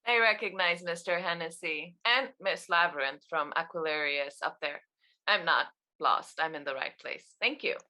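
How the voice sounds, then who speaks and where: A woman playing a wry, unflappable congressional assistant with a dry, quick-witted manner. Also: on the low side, pushed and bright. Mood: guarded, dry.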